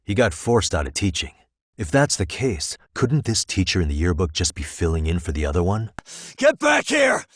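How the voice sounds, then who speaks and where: A man voicing a sharp, level-headed private detective in a video game, with a dry, matter-of-fact manner. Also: deep voice, wildly swooping pitch, moderate pace, no echo, heavily acted. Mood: serious, deducing, then excited shouting. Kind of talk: English game voice acting, detective dialogue.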